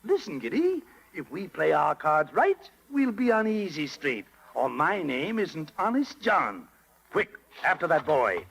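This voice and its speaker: A man's voice: on the high side, big pitch swings, measured pace, gravelly, fairly bright tone, full character voice. A man playing a sly, grandiose fox swindler with florid, oily patter in a 1930s radio drama. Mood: scheming, gleeful. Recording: vintage 1930s radio broadcast.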